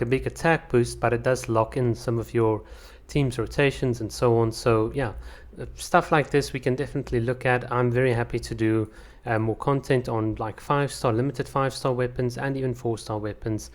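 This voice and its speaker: Casting note: male channel host, non-native English speaker, easygoing and chatty, answers viewer comments with frequent restarts. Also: medium pitch. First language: not English. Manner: chatty, many fillers and restarts. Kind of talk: casual comment-reading chat.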